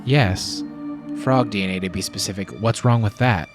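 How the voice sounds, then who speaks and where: A man playing an earnest, calm, technical geneticist in an audio drama. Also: on the low side, moderate pace, soft background music, lightly acted. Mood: calm, questioning.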